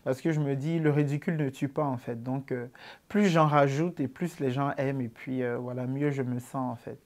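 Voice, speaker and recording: A man in his twenties with an Ivorian accent, answering interview questions about his comedy in a relaxed way, with many fillers. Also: medium pitch, moderate pace. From Ivory Coast. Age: 20s.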